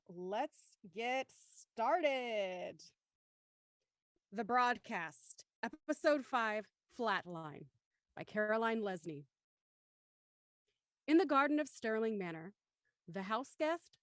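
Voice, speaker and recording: Measured woman narrator, dry sound. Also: a little high, no echo. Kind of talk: scripted narration for a radio mystery.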